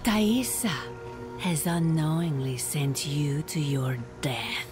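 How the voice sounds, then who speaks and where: A woman playing a menacing, zealous witch devoted to a demon queen in a dark fantasy game, taunting and ominous. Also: deep voice, expressive, slow, over music.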